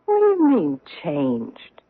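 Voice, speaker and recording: Guarded, animated American society wife. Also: female voice, on the low side, exaggerated voice. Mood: defensive. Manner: brisk, defensive, clipped. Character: guarded, proper married society woman hiding her origins. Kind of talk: radio mystery drama acting. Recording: muffled narrow-band old radio broadcast.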